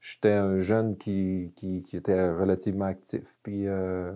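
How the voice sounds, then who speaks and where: A man's voice: low-pitched, little pitch movement, unhurried, dark tone, smooth, highs cut off. A man in his late fifties with a Québécois French accent, a guest in a casual interview, talking with many fillers and restarts. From Quebec.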